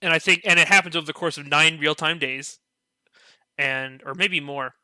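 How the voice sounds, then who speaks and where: A man's voice: fairly high pitch, normal speed, bright, forceful tone, dry recording. A man in a casual podcast discussion, rambling and enthusiastic, leaning heavily on filler words. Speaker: podcast panelist.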